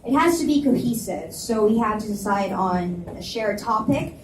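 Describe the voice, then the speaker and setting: A woman's voice: medium pitch, animated, normal speed, lightly acted. A woman reading the part of a tired, blunt, sarcastic young college student in a live dramatic reading of romance fiction. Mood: businesslike. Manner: blunt, sardonic. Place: live reading in an echoey indoor room. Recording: echoey room, distant mic, hiss.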